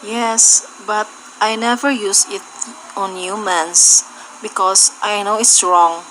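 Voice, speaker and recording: A woman's voice: medium pitch, steady tone, slow pace, a bit bright. A woman playing an earnest young lady doctor, an adopted daughter, in an amateur audio drama on a noisy home recording. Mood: earnest, defensive.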